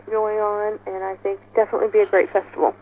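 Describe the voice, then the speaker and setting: A woman's voice: mid-range voice, flat delivery, quick pace, slight background noise. A woman speaking as an event organizer in an informal interview, explaining details in an upbeat, enthusiastic way over a muffled telephone line. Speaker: festival organizer being interviewed.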